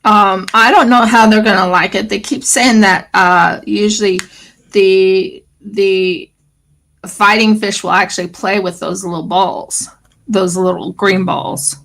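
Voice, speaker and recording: Australian woman, craft-stream host, gravelly scratchy voice, loose rambling chat. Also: mid-range voice, fairly even intonation, unhurried, a bit bright. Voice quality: scratchy morning voice. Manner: rambling, fillers, restarts. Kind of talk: casual livestream chat.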